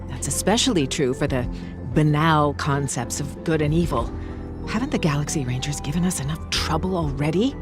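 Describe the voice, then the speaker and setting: Low-voiced, stern scientist. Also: female voice. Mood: dismissive, stern. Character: cold, stern, zealous female research scientist. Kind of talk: scripted video game voice acting.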